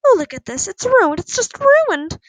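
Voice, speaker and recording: A woman voicing an overwrought, self-pitying middle-aged mother in a storybook, scolding in a shrill, emotional way. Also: very animated, moderate pace. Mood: screeching panic.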